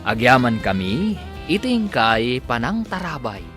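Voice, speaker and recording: A man's voice: mid-range voice, very animated, a little slow. A man with an Ilocano Filipino accent delivering dramatic scripted narration as a radio drama announcer. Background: music.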